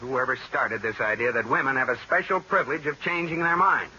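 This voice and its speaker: A man's voice: medium pitch, fairly quick, bright, forceful tone. An American man playing an exasperated, scolding husband in old radio sitcom style, emphatic and indignant. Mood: stern. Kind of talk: 1940s-50s radio sitcom acting. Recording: muffled old-time radio recording, faint noise.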